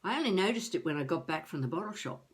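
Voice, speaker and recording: An actress playing an elderly wannabe novelist, wry and self-mocking, musing haltingly to herself in a confessional video-diary monologue. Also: female voice, lowish voice, lively intonation, close to an everyday voice. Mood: flustered. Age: older.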